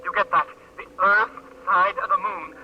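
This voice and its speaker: A man's voice: high voice, steady tone, normal speed, slight background noise. An actor playing a desperate, out-of-breath male scientist calling Earth over a faint radio link from the moon, in 1950s radio drama style. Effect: distant radio transmission. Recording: muffled old radio broadcast.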